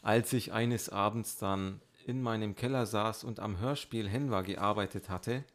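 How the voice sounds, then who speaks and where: A man's voice: lowish voice, measured pace. A man co-hosting a podcast in informal, playful chat. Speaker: podcast co-host.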